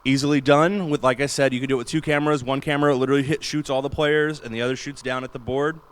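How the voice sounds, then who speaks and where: A man with a booming voice giving an enthusiastic, chatty panel talk in a large convention room. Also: fairly even intonation, fast, clear, resonant tone. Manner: enthusiastic, chatty, laughs often. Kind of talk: casual panel talk on streaming gear.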